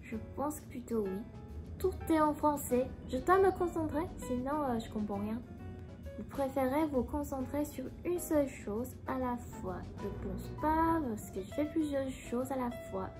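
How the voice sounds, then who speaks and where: A woman's voice: sits high, animated. A young woman from Taiwan, speaking French as an in a casual, hesitant chat while answering a quiz. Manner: soft-spoken, hesitant, pauses to find words. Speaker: vlogger. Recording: background music under the voice.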